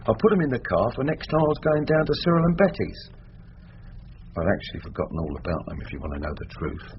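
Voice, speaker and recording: Cheeky low-voiced London crook. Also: male voice, big pitch swings, fast. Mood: chatty, explaining. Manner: chatty, mock-innocent, affected French flourishes. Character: cheeky, evasive male petty crook with ready excuses. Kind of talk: radio drama acting. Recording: dull, slightly noisy old radio recording.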